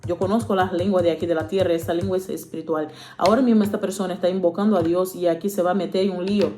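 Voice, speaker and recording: A woman voicing a group of alarmed demons as a quick aside within her preaching, in a mellow voice. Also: fairly low pitch, steady tone, soft background music, close to an everyday voice. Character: demons, alarmed and plotting retreat.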